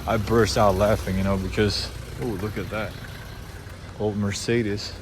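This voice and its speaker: Young Swiss man, Swiss German accent, slightly low voice, chatty vlogger, noisy car recording. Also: little pitch movement, unhurried. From Switzerland. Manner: loose, unscripted, many fillers and restarts. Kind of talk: casual rambling monologue.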